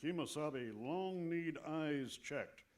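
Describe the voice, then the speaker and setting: An actor playing a stolid, dry-witted western sidekick, deadpan, in clipped broken English for a comic radio show. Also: male voice, on the high side, slow pace. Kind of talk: comic old-time radio western acting.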